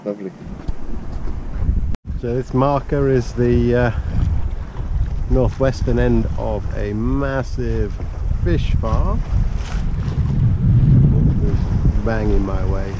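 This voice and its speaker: A man's voice: mid-range voice, slow, pauses often, dull audio, background noise. Laid-back man sailing. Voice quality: slightly nasal. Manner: laid-back, chatty, swears casually. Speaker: solo sailor vlogging his voyage. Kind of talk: casual running commentary. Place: on deck of a small sailboat at sea, outdoors. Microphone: action camera on the boat.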